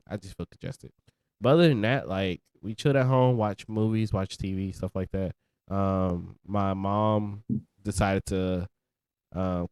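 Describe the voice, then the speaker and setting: A young man co-hosting a podcast in relaxed chat, talking with easygoing slang and sounding congested. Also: sits low, clear, resonant tone, no echo. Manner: relaxed, laid-back slang. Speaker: podcast co-host. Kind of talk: casual chat.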